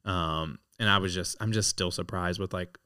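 A man's voice: deep voice, fairly even intonation, moderate pace, bright, forceful tone, clean tone, no echo, clean studio sound. A man co-hosting a podcast in casual chat, rambling with restarts and frequent filler words. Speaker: podcast co-host.

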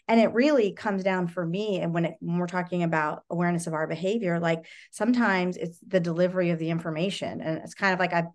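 A woman sharing reflectively in a recovery study meeting over a video call, pausing often with fillers as she searches for words. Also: fairly low pitch.

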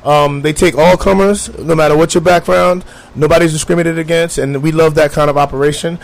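A man's voice: on the high side, fairly even intonation, brisk, gravelly, low-quality recording. A man hosting a talk show who asks friendly leading questions and often restarts his phrases. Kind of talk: interview questions.

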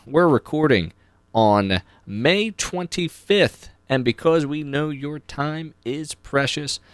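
A man presents as a podcast host with very lively, swooping intonation and a mid-range voice. He sounds chatty and informal, slipping in playful asides. The sound is dry and close, with little room to it. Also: mid-range voice, dry recording.

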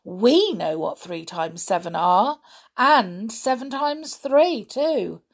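A woman's voice: fairly high pitch, animated, slow, slightly rough, exaggerated voice. A woman voicing a bold, plucky young boy in a children's adventure story.

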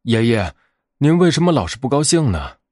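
Eager young bear cub for a storybook, lively and cheerful male reader. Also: medium pitch, moderate pace, dry recording, studio quality. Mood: curious.

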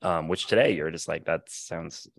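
A man with a very low voice and plenty of vocal fry, hosting a casual panel chat. He muses in tentative phrases, and the sound is dry with no room echo. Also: normal speed. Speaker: podcast host.

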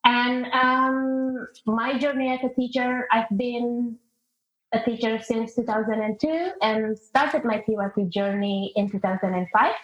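A woman's voice: fairly high pitch, steady tone, a little slow, faint music behind. A middle-aged woman with an Indonesian accent, talking reflectively about herself as a guest in an interview. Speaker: podcast guest, international teacher. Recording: muffled, roomy call audio.

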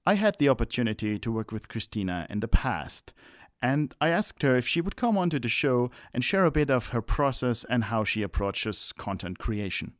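A middle-aged man hosts a casual interview over a video call. He talks in a relaxed way, often restarting his questions and stumbling over fillers. His voice sounds dry and close, with little room around it. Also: mid-range voice, normal speed, no echo. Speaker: podcast host.

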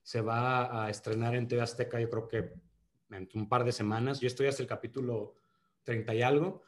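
A man in his thirties with a Monterrey accent, chatting as a guest on a podcast, full of restarts and fillers. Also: medium pitch. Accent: northern Mexican (Monterrey). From Monterrey, Mexico. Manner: many restarts and fillers, earnest then joking. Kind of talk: casual interview chat.

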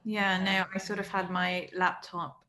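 Woman actor, casual chat, laughing, lower bright voice, distant video call audio. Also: little pitch movement, measured pace. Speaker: actor in the workshop.

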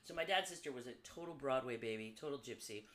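A woman guest on a podcast, telling animated stories from her life, swearing freely and laughing often. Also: rumbling low, fast. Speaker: podcast guest, Broadway performer. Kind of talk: casual storytelling chat. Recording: echoey room with faint background noise.

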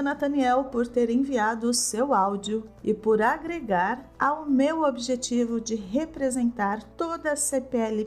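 A woman Portuguese teacher hosting an episode for learners, speaking slowly and clearly with a warm enthusiasm. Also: a little high. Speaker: Portuguese teacher and podcast host. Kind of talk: scripted narration for learners.

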